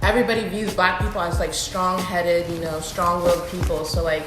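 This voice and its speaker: A woman in her twenties with a lowish voice, talking casually with lots of fillers. The room is echoey, and loud music plays behind her. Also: flat delivery, fairly quick. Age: 20s. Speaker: panelist. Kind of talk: casual panel discussion.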